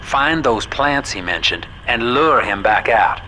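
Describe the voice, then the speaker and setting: A man's voice: mid-range voice, animated, unhurried, background noise, natural voice. An actor playing a folksy, fatherly, plain-spoken guide who gives advice to the hero of a video game. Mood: instructive. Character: folksy male ally and guide, paternal, plain-spoken, shrewd.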